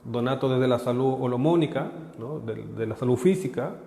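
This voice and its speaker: A man's voice: medium pitch, vocal fry, warm tone. Chilean man talking in an echoey room. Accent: Chilean, partly Mexican. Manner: halting, repeats words, tags phrases with "¿no?" and "¿ok?". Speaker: prosperity consultant giving a talk. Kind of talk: live online workshop talk. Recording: echoey room with long reverb.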